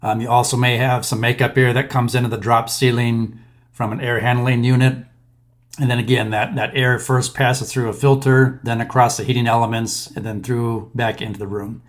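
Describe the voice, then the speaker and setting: A man's voice: mid-range voice, level tone, normal speed. A man in his thirties giving a plain, methodical voice-over walkthrough as a maintenance instructor, with the odd filler. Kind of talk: explainer voice-over over slides.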